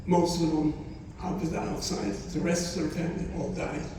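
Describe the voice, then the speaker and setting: A man's voice: on the high side, completely flat delivery, dark tone, far from the mic, a little hiss or hum. Elderly man speaking in an echoey hall. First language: not English. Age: 90s. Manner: halting, repeats phrases, speaks with feeling. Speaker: Holocaust survivor speaker. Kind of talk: testimony to students. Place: college hall.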